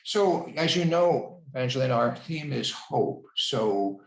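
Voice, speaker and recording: A man's voice: mid-range voice, lively intonation, slow pace, gravelly. A man hosting a church interview series over a video call, affirming his guest and repeating words of praise. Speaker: host of church discussion series. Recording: echoey room.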